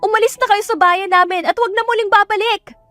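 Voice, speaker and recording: A woman acting a feisty, indignant young farm woman who speaks forcefully in an audio drama. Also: very high voice, fairly even intonation, quick pace, dry recording, faint music behind, pitched above the performer's own voice. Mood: angry, defiant. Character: feisty, defiant 25-year-old village farm woman. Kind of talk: Tagalog audio drama dialogue.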